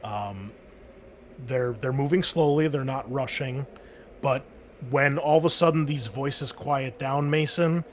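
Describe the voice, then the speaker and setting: A man with a middling voice serves as game master for a tabletop roleplaying game. He explains and narrates conversationally, pausing as he thinks. There is some background noise on the recording. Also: medium pitch.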